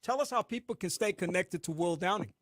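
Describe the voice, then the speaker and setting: A man from the hosting a radio interview, friendly and quick to laugh. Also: high voice, fairly bright tone. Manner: friendly, laughs often, drawn-out host phrasing. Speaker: radio show host. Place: radio studio.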